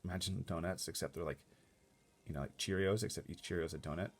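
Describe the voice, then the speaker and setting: A man's voice: low-pitched, fast. A man narrating a storybook aloud expressively, slipping into casual asides. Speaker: storybook reader.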